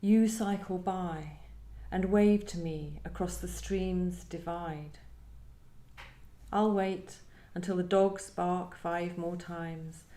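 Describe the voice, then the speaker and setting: A woman poet with a soft, dark-toned voice speaks in a literary setting. She is reflective and feels for her words, and the room rings noticeably around her. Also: on the low side, fairly even intonation, slow. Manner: hesitant, searching, thoughtful phrasing. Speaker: poet discussing own work. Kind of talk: reflective literary conversation with poetry reading. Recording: roomy live event sound.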